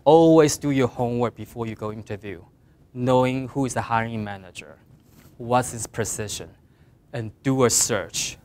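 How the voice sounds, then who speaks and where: A middle-aged man with a Mandarin Chinese accent, speaking English as a second language, giving earnest career advice to design students, rising on key points and trailing off quietly at the ends of phrases. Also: medium pitch, normal speed. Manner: earnest, plain advice with emphatic rises and trailing quiet endings. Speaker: guest speaker.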